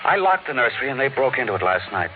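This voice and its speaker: A man's voice: medium pitch, very animated, quick pace, noisy background, close to an everyday voice. An actor playing a worried, stern middle-aged father in a 1950s radio drama. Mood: troubled. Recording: narrow, roomy vintage radio recording.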